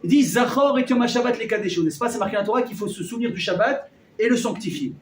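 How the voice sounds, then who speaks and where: A man giving a lively Torah class to a live audience, joking and quizzing the listeners as he teaches. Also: high-pitched, fast talker, a little hiss or hum. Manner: animated, jokey, quizzes the audience. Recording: echoey room, mic at a distance.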